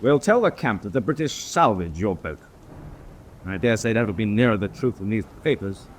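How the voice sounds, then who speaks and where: An actor playing a stern, contemptuous German naval officer in a radio drama, clipped, relentless and commanding. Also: male voice, on the low side, expressive, moderate pace, close to an everyday voice. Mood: cold, controlled. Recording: faint background noise.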